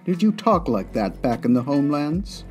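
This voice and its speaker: A man's voice: a little high, very animated, normal speed, a little mellow. A man playing a stern, taunting fencing master, curt and condescending, in a comic dub. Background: plucked guitar music.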